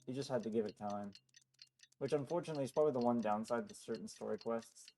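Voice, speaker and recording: A man's voice: mid-range voice, quick pace, warm tone, soft background music. A man giving casual live commentary as he streams a game, easygoing, with muttered asides.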